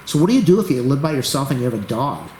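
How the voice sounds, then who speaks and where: A man hosting a casual computer tutorial, rambling as he waits, losing his voice and clearing his throat. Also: mid-range voice, sing-song, very fast, darkish tone, echoey room, slight background noise, poor audio. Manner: rambling, talks to the camera while waiting. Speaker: YouTube tech tutorial host. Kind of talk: rambling casual screen-recording commentary.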